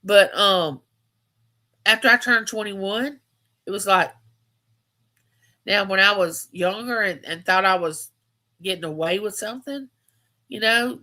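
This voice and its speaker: A woman's voice: medium pitch, a little slow, long pauses, sharp tone. A woman, hosting a casual live chat and telling stories, repeating phrases for emphasis. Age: 50s. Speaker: livestream host.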